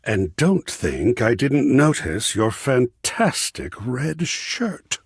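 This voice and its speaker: A flamboyant living statue with lofty artistic airs for a video game. His delivery is slow and theatrical, swooping in pitch, with a creaky, husky edge. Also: big pitch swings, no room sound, clean studio sound, heavily acted. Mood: arch, dramatic. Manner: theatrical, drawn-out, arch. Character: flamboyant, haughty male art critic, living marble statue. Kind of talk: video game character acting.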